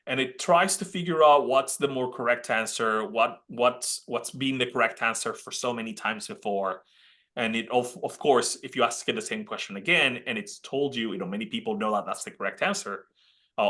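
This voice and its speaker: A bright-voiced man in his thirties with a Puerto Rican accent gives a casual webinar presentation. He talks in a chatty way, restarting sentences and filling gaps with "uh." He is heard through a computer mic on a video call. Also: mid-range voice, normal speed. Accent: Puerto Rican Spanish. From Puerto Rico. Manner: chatty, many restarts and "uh" fillers. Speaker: college dean presenting a webinar.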